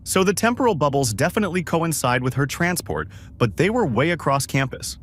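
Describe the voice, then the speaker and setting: A wry male crewman in a fan-made space drama, voiced by a man, reports conversationally. His mid-range voice has animated rises and falls, with slight noise in the background. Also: a little high, brisk. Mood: informative. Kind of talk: amateur sci-fi audio drama acting.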